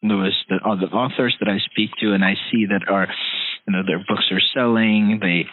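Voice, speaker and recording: A man speaking earnestly and haltingly in a conversation, often pausing to search for his words. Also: on the low side, steady tone. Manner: hesitant, halting delivery. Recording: roomy, tinny phone-like call audio.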